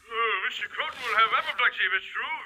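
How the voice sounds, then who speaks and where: A flustered British bank man speaks in a high, very animated voice. He is fussy and hesitant, stumbling over polite phrases, on a clearly noisy old radio recording. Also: fast talker, full character voice. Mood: flustered, incredulous. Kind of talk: 1940s-50s radio acting.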